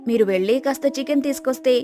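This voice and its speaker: A woman playing a clever, patient young village wife, polite and persuasive, in an animated moral story. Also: high-pitched, rapid-fire, lightly acted. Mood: polite regret. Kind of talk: acted moral story dialogue.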